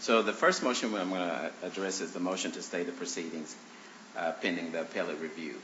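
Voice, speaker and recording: A man with a low voice, congested and a little deeper than usual from allergies, argues his case in a courtroom. He talks haltingly and earnestly, with a forceful edge, through fillers and restarts. The sound is roomy and dull, picked up by a courtroom stand microphone. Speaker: plaintiff arguing his own motions. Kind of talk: courtroom argument. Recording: roomy, dull courtroom recording with faint hiss.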